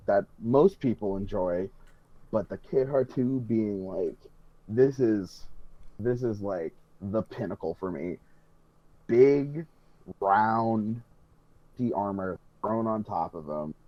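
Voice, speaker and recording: A man's voice: on the low side, no room sound, dull audio. A man co-hosting a hobby podcast, chatting casually with emphatic, sweary, rambling enthusiasm. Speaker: podcast co-host.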